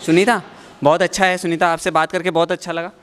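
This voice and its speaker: A young man hosts a light-hearted quiz in a large school classroom. He speaks into a handheld microphone in a chatty, teasing way, putting questions to those around him. A crowd of students can clearly be heard behind his voice. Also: fairly high pitch, little pitch movement, fast talker. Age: young adult. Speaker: quiz host. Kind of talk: playful classroom quiz interview.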